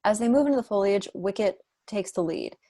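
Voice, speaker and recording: A woman's voice: mid-range voice, expressive, brisk, mellow, no room sound. A woman narrator reading out stage directions at a scripted table read. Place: indoor room at home, video call.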